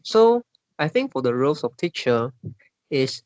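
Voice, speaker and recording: A man's voice: on the high side, sing-song, unhurried, darkish tone, no echo, lo-fi sound. A man with a Malaysian English accent, leading a casual discussion and stumbling through many restarts and fillers. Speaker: discussion leader.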